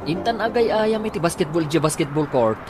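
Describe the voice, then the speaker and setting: Man as a bossy, animated basketball coach. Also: a little high, fairly quick, music in the background, close to an everyday voice. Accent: Ilocano. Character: bossy, loud basketball coach or team leader. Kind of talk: Ilocano radio comedy drama acting.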